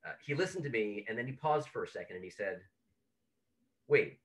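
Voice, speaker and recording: A man's voice: on the low side, lively intonation, brisk. A man hosting an online talk, telling an anecdote as an introduction over a video call. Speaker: host introducing a speaker.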